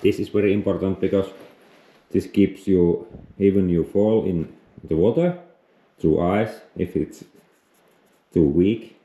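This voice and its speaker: A man from Finland speaking English with a Finnish accent, non-native and halting, explaining outdoor gear in a casual vlog. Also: low-pitched, warm tone, echoey room. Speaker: outdoors vlogger. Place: outdoors on a frozen lake, later indoors.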